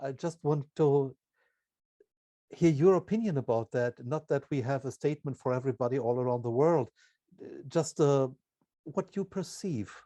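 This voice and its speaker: A man with a mellow, relaxed voice asks questions as an interviewer. German is his first language, and he builds sentences haltingly in short deliberate pieces. The sound is dry. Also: medium pitch, dry recording. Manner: halting, deliberate, broken phrasing. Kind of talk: conversational interviewing.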